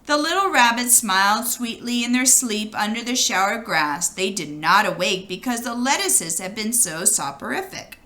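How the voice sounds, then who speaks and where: A woman reads a children's storybook aloud with lots of expression. Her pitch moves freely up and down in an animated way, and her tone is bright. She addresses the story to young listeners. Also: moderate pace, hardly any pauses. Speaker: storybook reader.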